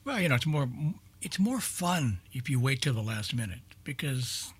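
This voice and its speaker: A man's voice: medium pitch, very animated, normal speed, breathy edge. A man in casual group conversation, talking as a co-host of an old-time radio program.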